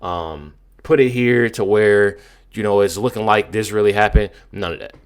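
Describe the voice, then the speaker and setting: An American man doing casual political commentary as a video host, in an emphatic, slangy, halting way with many repeats. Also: on the low side, smooth.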